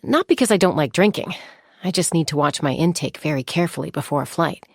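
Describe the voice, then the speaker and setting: Casting: young female game gunslinger, lowish husky voice, self-assured, wryly playful, dry close studio sound over faint music. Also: no room sound, exaggerated voice. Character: confident young woman gunslinger peacemaker, composed, wryly playful. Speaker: video game voice actor. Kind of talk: scripted game character voice lines.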